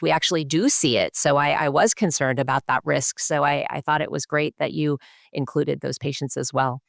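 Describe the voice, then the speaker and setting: A woman's voice: low-pitched, vocal fry, no room sound, studio quality. A woman, a physician hosting a podcast interview, speaking in an engaged, affirming and conversational way.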